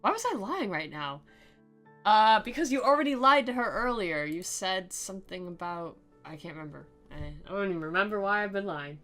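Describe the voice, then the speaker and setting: A woman's voice: medium pitch, expressive, brisk, a bit bright, faint music behind. A woman giving sarcastic commentary while reading a visual novel aloud in a mock-dramatic way. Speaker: game commentator and reader.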